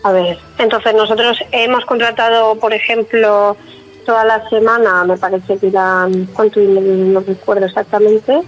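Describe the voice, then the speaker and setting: A woman's voice: mid-range voice, fairly even intonation, moderate pace. A woman with an accent from Spain, talking in a phone conversation as the recipient of a call. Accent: Spanish, from Spain. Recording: phone call with music behind.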